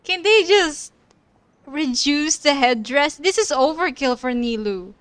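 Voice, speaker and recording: A woman's voice: high voice, lively intonation, unhurried, a bit bright, no room sound. A woman doing casual live commentary as she plays a video game, exclaiming and teasing at what she sees on screen. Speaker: streamer reacting to a video game.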